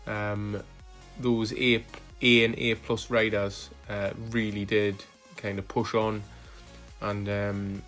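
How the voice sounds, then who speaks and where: A man narrating footage of his own rides as a cycling vlogger, relaxed and hesitant, with frequent fillers. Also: lowish voice, slow, faint music behind. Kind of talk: casual voice-over narration of ride footage.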